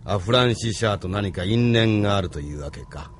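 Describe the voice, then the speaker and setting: An actor playing a dignified older man, grave and measured, in a Japanese audio drama. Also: on the low side, expressive, slight background noise, lightly acted. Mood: surprised, musing. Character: dignified old man, retired activist, stern and weary.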